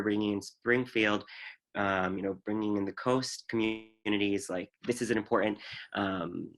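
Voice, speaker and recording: A man's voice: on the low side, a bit bright. A man of twenty-six answering a panel question casually, with many fillers. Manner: rambling, filler-heavy explaining. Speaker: youth climate organizer on a panel.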